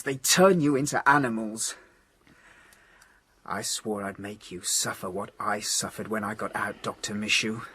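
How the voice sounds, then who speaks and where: A man's voice: medium pitch, a bit bright, breathy edge, dry recording. An actor playing a bitter, vengeful male ex-convict in a radio drama, speaking in emphatic, intense phrases. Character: bitter, wronged male ex-convict seeking revenge.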